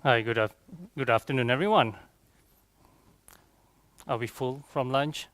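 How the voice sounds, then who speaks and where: Young man, Malaysian accent, conference speaker, informal and chatty, crisp voice, little room echo. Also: medium pitch, measured pace, a bit bright. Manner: chatty, frequent rhetorical check-ins like "okay" and "right". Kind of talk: informal technical presentation. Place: conference room, on stage before a seated audience.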